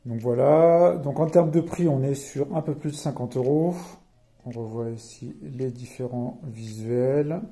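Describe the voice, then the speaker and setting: A man with a French accent and a very soft, dark, relaxed tone gives a casual product walkthrough. His pitch sits in a middle range as he explains features and reads out specifications with his own commentary. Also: measured pace. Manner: explanatory, reading specs aloud with commentary. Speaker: product reviewer.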